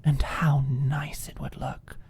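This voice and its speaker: A woman narrating an ASMR audiobook in a deliberate, expressive, breathy voice that often drops to a near whisper. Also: extremely low pitch, no room sound.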